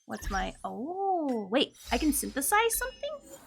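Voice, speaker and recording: A woman's voice: high voice, wildly swooping pitch, a little slow, vocal fry, slight background noise. A woman doing casual, playful live game commentary as a streamer, given to exclaimed reactions.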